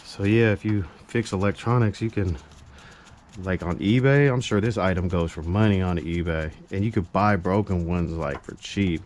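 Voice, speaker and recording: A man with a low-pitched voice talks through a hands-on repair in loose, slangy, unscripted chat. He drifts between muttering to himself and addressing viewers. The action-camera audio has a faint noise behind him. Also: normal speed, a little mellow. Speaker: amateur repair vlogger. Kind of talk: casual unscripted chat while working on a repair. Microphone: GoPro action camera.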